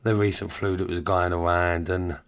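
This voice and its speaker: A man with a low, croaky voice, rough and worn after losing it to a flu. He hosts his own show and talks bluntly and informally, laying out his opinions in plain terms. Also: level tone, normal speed. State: croaky from flu, voice partly lost. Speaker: podcast host. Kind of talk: solo commentary on pensions.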